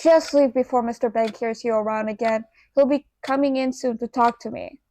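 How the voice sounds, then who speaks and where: A woman's voice: a little high, brisk, warm tone, natural voice. A woman playing an overworked, modest human manager in a radio play, reserved and hesitant in delivery. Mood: curt. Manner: reserved, hesitant, slips into Spanish. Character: Ari, overworked, modest human woman running a cartoon studio. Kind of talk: fictional radio theater acting.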